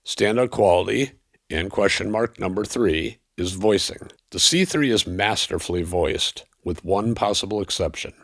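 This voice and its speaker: A man giving a scripted product review in a measured, analytical and precise manner. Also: on the low side, fairly bright tone, no echo, studio quality. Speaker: audio equipment reviewer.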